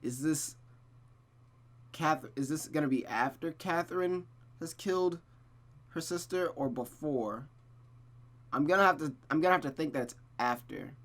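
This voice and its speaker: A man's voice: on the high side, normal speed, pauses often, fairly bright tone. A man reading a visual novel aloud with casual, slangy commentary and muttered asides. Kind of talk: casual playthrough with read-aloud narration and commentary.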